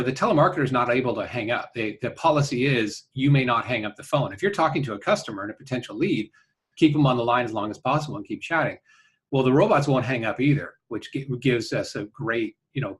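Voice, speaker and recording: Male interview guest, mid-pitched voice, conversational and sincere, halting delivery with frequent check-in tags. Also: fairly bright tone. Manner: earnest, halting, often checking with "right?". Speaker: interview guest, company founder.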